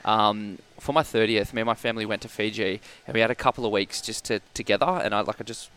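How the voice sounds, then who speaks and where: A man's voice: fairly low pitch, steady tone, quick pace, fairly bright tone, smooth. A man in his thirties hosting a podcast, asking questions in a friendly, chatty way. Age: 30s. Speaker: podcast host. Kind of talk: casual podcast interview.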